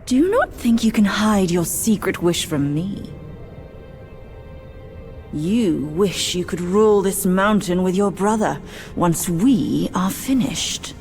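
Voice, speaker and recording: Casting: imperious, embittered princess-mother for fantasy game drama. Unhurried, scornful, theatrical delivery. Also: medium pitch, darkish tone, soft background music. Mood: scornful, accusing. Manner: commanding, disdainful, theatrical. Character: proud, bitter princess, scornful wife and mother. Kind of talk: dramatic video game cutscene acting.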